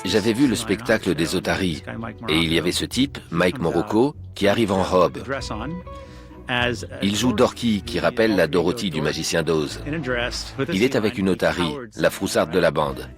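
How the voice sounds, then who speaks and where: Deep-voiced man recalling his past job. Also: moderate pace. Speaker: former marine park trainer. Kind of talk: dubbed documentary interview. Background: music.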